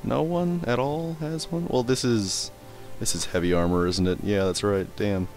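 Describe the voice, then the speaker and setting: Man, low voice, casual gaming commentary, rambling, offhand swearing, trips over difficult words. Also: fairly even intonation, normal speed, darkish tone, faint music behind. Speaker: let's play gamer and commentator. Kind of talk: casual gameplay commentary and reading in-game text aloud.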